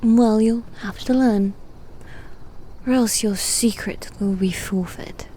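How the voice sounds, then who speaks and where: A woman playing a proud, guarded demon knight who is secretly fond, in an intimate, hushed and breathy ASMR roleplay style with haughty, halting delivery. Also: medium pitch, slight background noise, natural voice, rough recording. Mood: taunting, hurt. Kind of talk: ASMR roleplay, intimate half-whispered acting.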